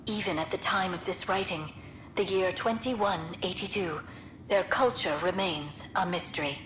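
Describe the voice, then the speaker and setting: An actress playing a polite, scholarly young alien archaeologist in a video game, speaking in an earnest, formal and courteous way. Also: female voice, fairly low pitch, normal speed, fairly bright tone, slight background noise, close to an everyday voice. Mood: formal, lecturing. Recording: music playing behind.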